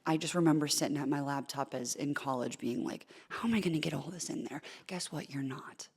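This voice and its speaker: A thirty-year-old woman, a sports reporter, talking casually in an interview, deep-voiced, lively with lots of likes and restarts. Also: slightly rough. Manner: animated, quick asides with filler likes and repeated starts. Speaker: sports reporter and in-arena host.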